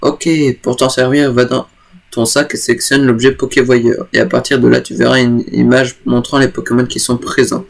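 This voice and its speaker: A stuffy-nosed, gravelly game father, read out by a man. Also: mid-range voice, little pitch movement, normal speed, natural voice. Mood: encouraging. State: stuffy with a cold. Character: kindly middle-aged father giving advice. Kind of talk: reading game dialogue aloud.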